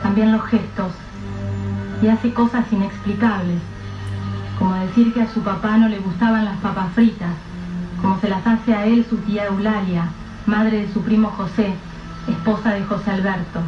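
Lady narrator, slow serious storytelling, dull distant sound, music low underneath. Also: fairly even intonation. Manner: measured, grave, storytelling. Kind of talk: scripted radio drama narration. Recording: roomy, dull, distant mic with soft music.

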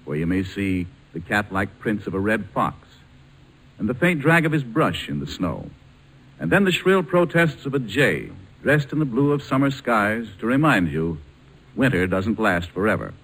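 An American man announcing on 1940s radio, reading scripted commercial narration in a measured, lyrical manner. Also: lowish voice, sing-song, fairly bright tone, rough recording. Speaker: radio announcer and sponsor spokesman.

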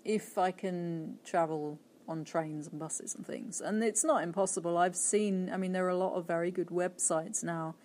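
A woman's voice: lowish voice, fairly even intonation, moderate pace, hardly any pauses, a little mellow. A woman from the UK, a podcast guest, musing thoughtfully with lots of hedging asides. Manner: thoughtful, hedging, many "I mean" and "you know". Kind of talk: casual interview chat.

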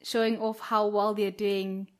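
A woman's voice: medium pitch, level tone, a little slow, crowd noise. A young woman, chatting casually on a podcast, filling her talk with "like" and "um" and laughing often. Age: young adult. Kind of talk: casual chat.

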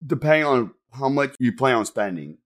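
A young man in casual conversation, excitable and quick to jump in with his opinions. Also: medium pitch. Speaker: co-host. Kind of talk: casual chat.

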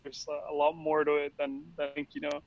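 Young man, from Costa Rica, halting, repeats words, fairly high, flat pitch, dull sound. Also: creaky, no room sound. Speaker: tequila company founder. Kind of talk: casual interview answers. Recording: dry, slightly dull sound.

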